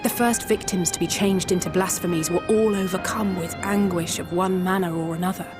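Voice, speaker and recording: An actress playing an earnest adventurer who reports grim findings with growing urgency in a game cutscene. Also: female voice, lowish voice, fairly even intonation, fairly quick, lightly acted. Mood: urgent. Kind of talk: video game cutscene acting. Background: orchestral music.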